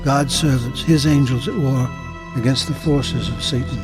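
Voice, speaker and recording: A man's voice: medium pitch, steady tone, over music, close to an everyday voice. A man playing an earnest, devout old grandfather who tells things solemnly and without hurry in an audio drama. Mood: grave warning. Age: older. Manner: solemn, unhurried storytelling. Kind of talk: full-cast audio drama acting.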